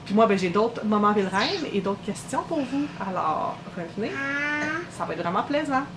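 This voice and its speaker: A woman's voice: mid-range voice, expressive, normal speed, noisy background. Chatty Québécois woman vlogger. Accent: Québécois French. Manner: chatty, many fillers. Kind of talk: casual vlog chat.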